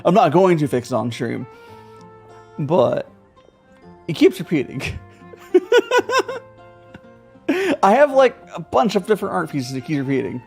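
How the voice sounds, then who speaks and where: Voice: medium pitch, very animated, a little slow, gravelly, long pauses, darkish tone. A live streamer chatting casually while playing a video game, playfully echoing the dialogue. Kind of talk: casual gameplay livestream chat. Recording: game music behind the voice. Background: video game music and dialogue.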